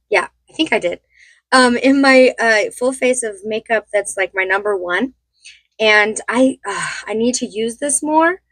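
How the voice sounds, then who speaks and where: A woman in her thirties with a slightly high voice that sounds hoarse from a cold. She chats in a loose, rambling way and laughs often as she gets ready. The close recording is dry, with no room sound. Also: dry recording. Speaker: beauty vlogger. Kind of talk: casual get-ready-with-me chat.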